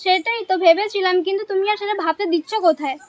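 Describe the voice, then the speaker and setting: A woman's voice: very high voice, very fast, no room sound, highs cut off. A young woman, talking casually as a prank-calling vlogger. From West Bengal, India. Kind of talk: casual prank phone call.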